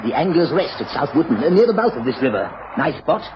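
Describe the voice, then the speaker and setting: A man's voice: a little high, very animated, fast. A man playing a cheerful, chatty retired English police superintendent turned country publican in a radio drama. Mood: hearty. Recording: muffled, noisy old radio recording.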